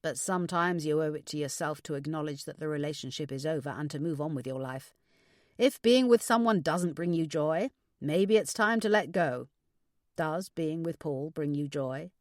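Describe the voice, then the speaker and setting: Lady doing a gentle older dad in an audiobook, reassuring n measured, pretty dry sound. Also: sits low, no room sound, close to an everyday voice. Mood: earnest, reassuring. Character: kindly older father giving gentle marriage advice. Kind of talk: audiobook character dialogue.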